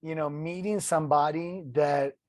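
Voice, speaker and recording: A man from Italy with an Italian accent, telling his story as a podcast guest in an earnest, halting way full of restarts. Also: on the high side, steady tone, darkish tone, no room sound, clean studio sound. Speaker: guest, ultrarunner. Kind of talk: casual interview storytelling.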